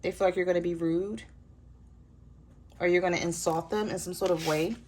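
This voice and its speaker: Hoarse woman giving a tarot reading. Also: on the low side, fairly quick, slight background noise. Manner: relaxed, chatty, addresses viewers directly. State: hoarse, losing her voice. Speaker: tarot reader.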